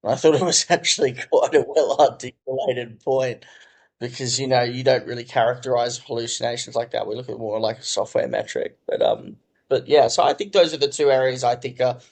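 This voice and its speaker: A man, a company co-founder and chief executive, answering interview questions in a measured, thoughtful way full of "I think.". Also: medium pitch, fairly even intonation. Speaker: company co-founder and CEO, podcast guest.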